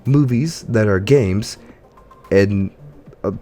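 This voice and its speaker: Low-voiced man hosting a gaming podcast. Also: slow pace, mellow, faint music behind. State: congested from allergies. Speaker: podcast host. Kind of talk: casual gaming podcast chat.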